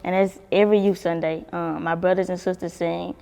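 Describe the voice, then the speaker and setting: Young woman, low gravelly voice, relaxed and profane interview talk. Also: brisk, long reverb tail. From Baton Rouge, Louisiana. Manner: laid-back, swears freely, laughs often. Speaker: singer, interview guest. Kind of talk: casual interview chat.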